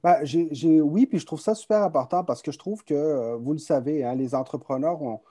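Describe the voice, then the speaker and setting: A man's voice: on the high side, brisk, no echo, studio quality. Man with a half-Québécois, half-French accent. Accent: mixed Québécois and French. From Chile, raised in France. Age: around 40. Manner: animated, repeats words while thinking. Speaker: guest entrepreneur. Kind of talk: relaxed conversational storytelling. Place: home office on a video call. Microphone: video call.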